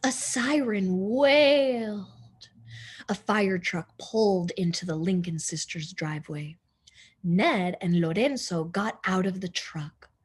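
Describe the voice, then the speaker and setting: A woman teacher reading a children's storybook aloud in an expressive narrating style. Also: medium pitch, slow pace, slightly rough.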